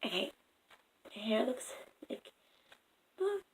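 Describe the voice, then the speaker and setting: A young woman vlogging at home on her phone camera, speaking softly in a raspy, half-whispered morning voice. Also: a little high, sing-song, slow pace, a little hiss or hum. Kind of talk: casual advice vlog.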